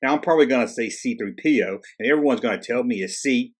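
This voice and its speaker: A man with a husky, unpolished voice narrates as the host of a video channel. His delivery is casual and conversational, as if following a loose script. He drops in sarcastic, wisecracking asides as he goes. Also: medium pitch, fast.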